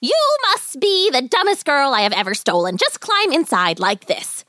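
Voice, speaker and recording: A woman's voice: sits high, wildly swooping pitch, unhurried, sharp tone, pitched above the performer's own voice, full character voice. A woman voicing a gleeful, short-tempered old witch in a children's story, cackling and commanding. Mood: sharp, impatient.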